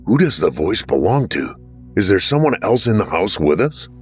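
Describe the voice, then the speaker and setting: A man's voice: sits low, sing-song, moderate pace, soft background music. A frightened young woman ghost, as a male narrator puts her on. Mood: alarmed. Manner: pleading, shaken.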